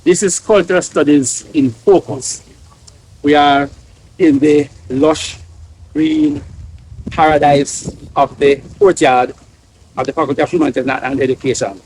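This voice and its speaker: A man's voice: on the high side, fairly even intonation, a little slow, a little mellow, husky, poor audio. A middle-aged man with a Jamaican accent hosting an outdoor interview, affirming his guest often. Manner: affirming, frequent encouraging interjections. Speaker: interview host. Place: outdoor courtyard under a large tree.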